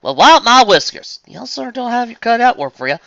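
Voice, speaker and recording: A hearty young female farm pony, played by a man reading a story, with a twangy Southern US accent. The delivery is folksy, blunt and drawling, bright and lively in pitch. The sound is a little dull and lo-fi. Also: fast, gravelly, no room sound, exaggerated voice.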